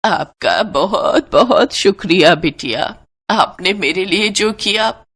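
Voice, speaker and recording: Woman playing a slow, humble old grandmother. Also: mid-range voice, expressive, fairly bright tone, slightly rough, full character voice. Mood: grateful. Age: older.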